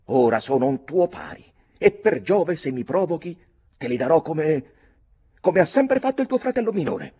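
A man reading an audiobook, voicing a proud, hot-headed fifteen-year-old boy shouting defiance. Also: mid-range voice, lively intonation, measured pace, husky, pitched above the performer's own voice. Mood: defiant.

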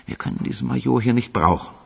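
Radio crime drama: methodical, deferential functionary, strongly creaky and husky, long hall reverb. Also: male voice, mid-range voice, fast talker, darkish tone. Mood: formal, businesslike. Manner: formal, methodical. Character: deferential male official reporting to his powerful boss.

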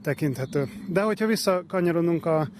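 A man's voice: a little high, animated, a little mellow. A man being interviewed outdoors as a conservation expert, explaining as he walks. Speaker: head of a forest conservation programme, interviewee. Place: outdoors, walking in a nature reserve. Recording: faint outdoor background noise.

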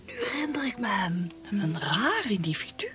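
A woman's voice: medium pitch, very animated, moderate pace. An actress playing a genteel society lady, chatty and judgemental, in a Dutch period radio drama. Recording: loud opera music behind.

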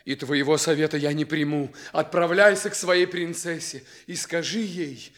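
An actor playing a proud, lovestruck young prince in a lofty, declamatory radio drama style. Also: male voice, on the high side, steady tone, a bit bright, lightly acted. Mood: proud, resolute. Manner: lofty, declamatory, drawn-out phrasing. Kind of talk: theatrical radio drama acting.

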